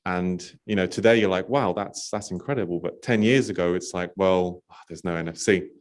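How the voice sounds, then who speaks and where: A man from Poland, a company representative, answering panel questions in a relaxed, talkative way with many fillers. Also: low voice, brisk. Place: conference stage.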